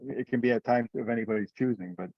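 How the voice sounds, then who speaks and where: A man's dull, lo-fi voice with a middling pitch, chairing a conference call. He talks conversationally and rambles a little, with hesitations as he goes. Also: fast talker, no echo. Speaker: meeting chair. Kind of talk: conversational teleconference talk. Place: remote group conference call.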